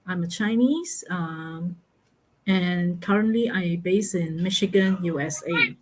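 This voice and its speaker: A middle-aged woman from Malaysia, a guest on a live interview, speaking slowly and haltingly with many fillers and easy laughs. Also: lowish voice, noisy background. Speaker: guest, online business mentor. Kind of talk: casual interview over a live stream.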